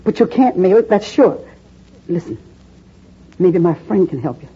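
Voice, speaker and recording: A woman's voice: fairly low pitch, lively intonation, moderate pace, dark tone, husky. A protective older landlady. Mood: urgent. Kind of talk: 1940s radio drama acting. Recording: dull old 1940s radio broadcast.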